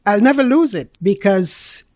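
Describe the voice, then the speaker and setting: A woman's voice: medium pitch, animated, unhurried, dark tone, no echo. An older woman from Jamaica with a Jamaican accent, chatting casually as an interview guest. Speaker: interview guest, small food business owner.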